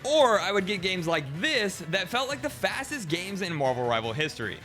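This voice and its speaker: A man's voice: high voice, moderate pace. Upbeat man narrating a game climb, music behind. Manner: even, explanatory storytelling. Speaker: streamer narrating his ranked climb. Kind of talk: scripted voice-over narration.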